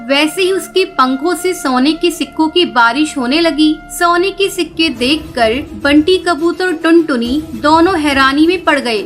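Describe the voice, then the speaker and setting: A woman's voice: high-pitched, measured pace, few pauses, fairly bright tone. A woman reading scripted narration for a children's cartoon story. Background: background music.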